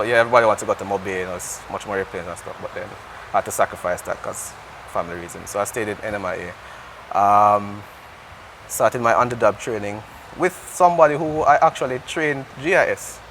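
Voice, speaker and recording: Deep-voiced Jamaican man chatting. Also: brisk, a bit bright, slight background noise, low-quality recording. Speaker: interview guest, air traffic controller. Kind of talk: casual interview chat.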